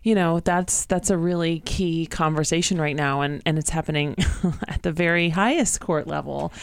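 Low-voiced woman radio host. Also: fairly even intonation, moderate pace, creaky, smooth, dry recording. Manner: encouraging, affirming. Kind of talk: radio interview hosting.

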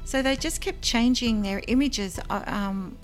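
A woman's voice: a little high, faint music behind. Earnest woman recalling her work. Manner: earnest, measured, with frequent ums. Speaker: former psychic line worker, guest. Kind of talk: interview, personal recollection.

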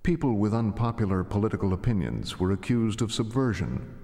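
A man delivering a grave, deliberate scripted introduction as announcer of a radio drama series. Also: on the low side, sing-song, creaky, dark tone. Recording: reverberant, with music bed.

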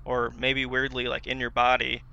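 A man's voice: mid-range voice, completely flat delivery, moderate pace, gravelly, bright, forceful tone, clean tone. A man co-hosting a podcast in loose, conversational chat, on a slightly dull close recording. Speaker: podcast co-host. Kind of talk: casual chat about video games.

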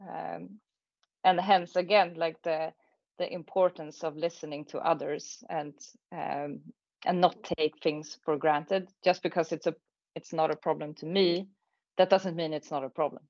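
A woman with a Swedish accent giving reflective thoughts as a panel guest, speaking in measured, halting phrases. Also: low voice, fairly even intonation, gravelly, darkish tone, dry recording, dull audio. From Sweden. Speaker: panel guest, tech manager. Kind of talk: panel discussion, reflective talk.

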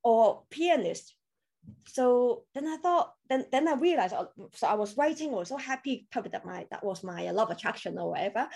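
A woman's voice: on the high side, animated, moderate pace, gravelly, a little mellow. A woman from Hong Kong with Cantonese as her first language, telling her story as a podcast guest in a chatty way, with loose grammar and many fillers. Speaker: podcast guest, crypto coach. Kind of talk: casual interview chat.

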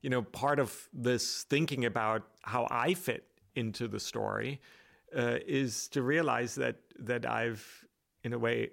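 Hesitant gravelly-voiced man with roots. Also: mid-range voice, a bit bright. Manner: hesitant, with many restarts and fillers. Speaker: author and guest. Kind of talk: reflective interview talk.

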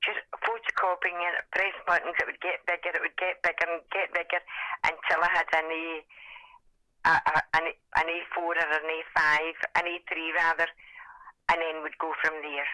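An older woman from Scotland with a Scottish accent and a hoarse voice, chatting and reminiscing in an interview over a phone call. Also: sits low, flat delivery, moderate pace, pushed and bright, no echo. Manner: chatty, self-deprecating reminiscing. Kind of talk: oral history interview. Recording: phone call, dry sound.

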